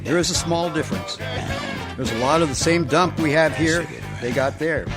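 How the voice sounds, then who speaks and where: A man's voice: a little high, moderate pace, lightly acted. A man playing a chatty, worldly hitman in a stilted radio comedy. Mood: explaining. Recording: guitar music behind dialogue.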